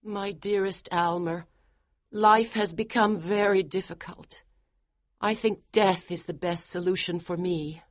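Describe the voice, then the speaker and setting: A devoted, resolute young wife, as a male narrator puts her on. She pleads in a raised, higher voice, and the recording is dry and close. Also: expressive, measured pace, dry recording. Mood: quiet.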